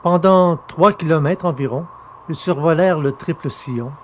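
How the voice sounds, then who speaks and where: A man narrating a science fiction audio drama in a steady, measured storytelling way. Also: a little high, a little hiss or hum.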